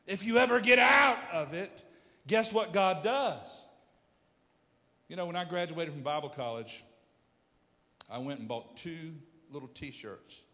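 A pastor in his fifties preaching a sermon, emphatic, swinging from loud bursts to hushed storytelling. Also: a little high, animated, a little slow, long pauses, big-room sound.

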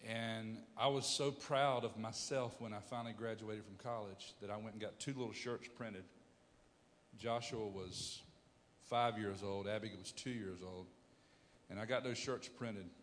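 A pastor in his fifties preaching a sermon, emphatic, swinging from loud bursts to hushed storytelling. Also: lowish voice, in a large hall.

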